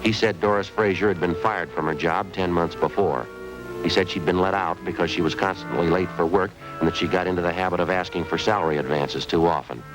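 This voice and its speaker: A terse police detective, played by a man, narrates in a flat, clipped, matter-of-fact way. His voice is very low and he moves quickly through the facts. It sounds like an old radio drama, with music playing underneath. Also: lightly acted, low-quality recording. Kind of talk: 1950s radio drama acting.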